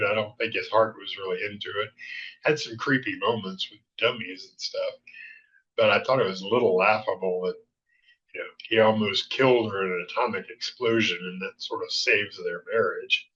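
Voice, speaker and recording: A man hosting a show with casual, somewhat halting commentary, in slightly muffled sound. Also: on the low side, a bit bright. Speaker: show host. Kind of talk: casual commentary on vintage television.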